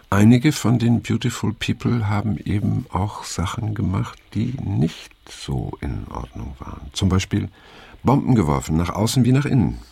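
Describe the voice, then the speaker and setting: An actor playing a weary, reflective middle-aged man in naturalistic radio drama, speaking haltingly and pensively with a gravelly, husky voice. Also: lowish voice, darkish tone, dry recording, full character voice. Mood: pensive, wistful. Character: weary middle-aged male survivor of a hippie circle, reflective.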